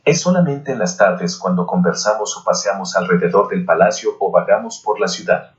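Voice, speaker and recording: A man's voice: deep voice, normal speed, muffled recording, close to an everyday voice. A man voicing an eager, respectful young Aztec student scribe answering his lord, as in an audiobook. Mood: nervous lying.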